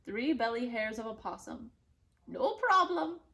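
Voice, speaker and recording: Bossy, scheming hag witch for a storybook, high and wildly swooping, played by a woman. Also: expressive, measured pace, fairly bright tone. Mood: gleeful, scheming. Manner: theatrical, exclaiming, bossy. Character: cranky, scheming hag witch craving piggy pie. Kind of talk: storybook character voice.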